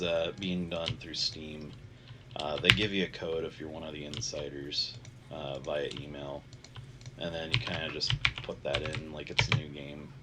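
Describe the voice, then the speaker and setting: Low, congested man gaming at home. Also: heavy background noise. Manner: rambling, many filler sounds, self-deprecating. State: congested, nasal; tired. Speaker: gaming channel host. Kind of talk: casual gameplay commentary. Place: home bedroom. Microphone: gaming headset. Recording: clear keyboard clicking behind. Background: keyboard and mouse clicks.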